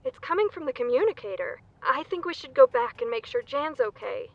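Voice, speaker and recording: High female voice, earnest reassuring crewmate, audio drama, slightly muffled. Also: expressive, a little fast, no echo. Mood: earnest, gentle.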